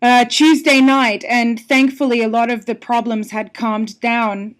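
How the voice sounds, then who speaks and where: A friendly woman from Australia speaks as a guest over the phone, her voice sitting a little high. She chats freely and warmly about her life and music, laughing easily between thoughts. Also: flat delivery, unhurried, background noise. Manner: friendly, chatty, laughs often. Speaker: singer-songwriter guest. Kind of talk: radio interview by phone. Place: home in London. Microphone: telephone.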